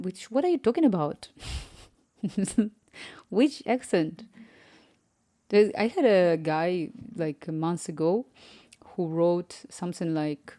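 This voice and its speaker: A woman's voice: on the low side, dark tone. A woman with a Ukrainian accent carrying some Spanish influence, streaming live as an app developer, chatty and easily distracted, reading chat aloud and murmuring to herself while she codes. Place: home room.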